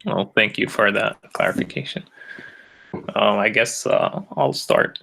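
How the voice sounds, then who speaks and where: A man's voice: fairly low pitch, unhurried, creaky, pushed and bright, husky, rough recording. A man in his twenties giving a casual, somewhat hesitant self-introduction. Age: 20s. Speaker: EMT and recent biology graduate.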